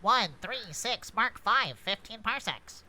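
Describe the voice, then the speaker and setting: A woman's voice: on the high side, expressive, takes it slowly, bright, forceful tone, heavily acted. A woman playing a dutiful droid co-pilot in a script read, giving formal, precise status reports. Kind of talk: fan script table read, play-by-play reporting. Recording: clear background noise.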